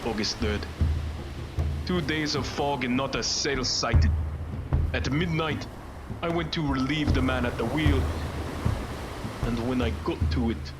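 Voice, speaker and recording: Man acting solemn male sea captain, radio drama, very slow delivery, terse halting log entries, dutiful, noisy ocean ambience. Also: medium pitch, natural voice. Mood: grim, uneasy. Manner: clipped, halting phrases. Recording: noisy surf and ship ambience behind.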